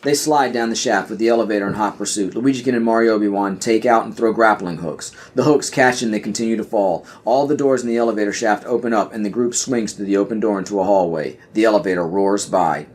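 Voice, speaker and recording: A man's voice: lowish voice, fast, few pauses, darkish tone. A man narrating an audio play in a scripted, screenplay-reading style.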